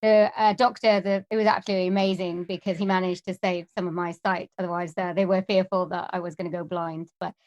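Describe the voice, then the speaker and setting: A woman's voice: fairly low pitch, flat delivery, fast, hardly any pauses, a little mellow. Hesitant Welsh woman, interview guest. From Wales. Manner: earnest, hesitant with frequent ums. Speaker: guest, singer and voice artist. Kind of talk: casual interview answers.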